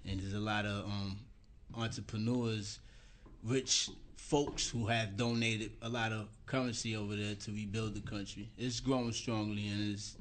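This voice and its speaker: Lowish voiced guy from liberia via brooklyn, slangy radio guest explaining stuff, faint noise. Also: level tone, normal speed. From born in Liberia, raised in Brooklyn. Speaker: musician guest. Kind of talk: casual radio interview.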